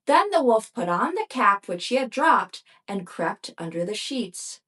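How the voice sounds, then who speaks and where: A woman reading a fairy tale aloud for children as the host of a storytime. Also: medium pitch. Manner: slow, lively storybook reading. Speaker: storyteller and ventriloquist.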